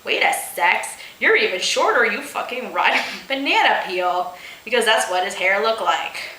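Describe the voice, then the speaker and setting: A woman's voice: mid-range voice, lively intonation, normal speed, bright, forceful tone, roomy sound, a little hiss or hum, poor audio. A woman reading comic fiction aloud as host, theatrical, with sarcastic, exasperated asides. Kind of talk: comedic dramatic reading with asides.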